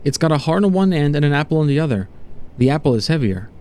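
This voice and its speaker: A man playing an agitated condemned prisoner in a horror audio story, halting and emphatic as he unravels. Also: a little high, expressive, darkish tone, natural voice. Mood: awed, shaken. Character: condemned male prisoner unraveling from irritation into awed despair.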